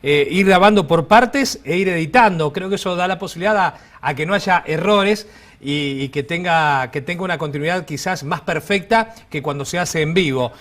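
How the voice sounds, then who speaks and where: High-voiced Argentine man co-hosting. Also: moderate pace, a bit bright. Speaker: interview co-host. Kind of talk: casual interviewing.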